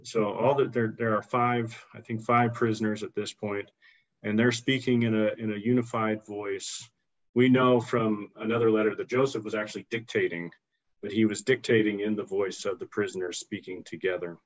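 A middle-aged man on a video call giving a measured explanation of history, pausing and restarting phrases. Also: on the low side, steady tone. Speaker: historian guest. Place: indoor office with bookshelves. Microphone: video call computer mic. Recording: dull lo-fi video call audio.

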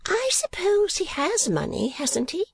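A woman voicing a shrewd, chatty older female miniature painter in a high, gossipy, exclamatory voice. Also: huge pitch swings, a little slow, creaky, no room sound, heavily acted.

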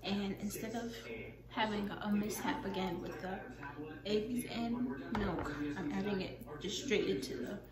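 A young woman talking casually to the camera while baking, unsure of herself and thinking out loud, in a home kitchen. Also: medium pitch, a little slow, a loud crowd. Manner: unsure, self-mocking, thinking aloud. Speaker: vlogger. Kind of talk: casual baking vlog narration. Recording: roomy kitchen echo with loud music. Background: pop music playing.

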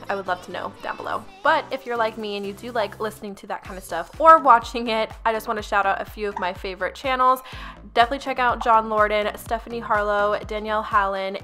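A woman's voice: medium pitch, sharp tone. A young woman talking casually over her cleaning footage, chatty and upbeat, speaking straight to her viewers. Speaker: lifestyle vlogger.

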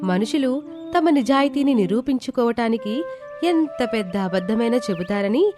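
A woman's voice: fairly high pitch, lively intonation, moderate pace. A woman reading a scripted moral tale as the narrator, in storytelling style. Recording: background music behind the voice. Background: music.

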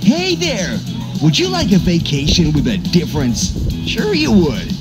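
An actor playing an upbeat, folksy countryside announcer in a retro holiday radio commercial, selling with enthusiasm. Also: male voice, on the high side, huge pitch swings, unhurried. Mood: upbeat inviting. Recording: loud music behind the voice.

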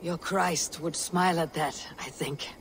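A man playing a stoic, steadfast Viking warrior leader in a video game, speaking in a measured, solemn way. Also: on the high side, fairly even intonation, fairly bright tone, a little hiss or hum. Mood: calm, grave. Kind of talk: dramatic video game cutscene acting.

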